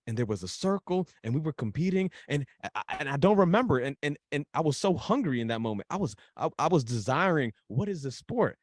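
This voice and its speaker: A man from the Dominican Republic, a guest author in a casual podcast interview, telling a story with excitement and repeating himself as he goes. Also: medium pitch, no echo, studio quality. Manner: animated storyteller, repeats and restarts when excited.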